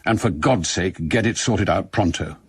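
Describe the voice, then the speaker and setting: An actor playing a gruff, impatient older intelligence chief with an English accent, brusque and commanding, in a radio drama. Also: male voice, sits low, moderate pace, a little hiss or hum, lightly acted. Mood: irritated.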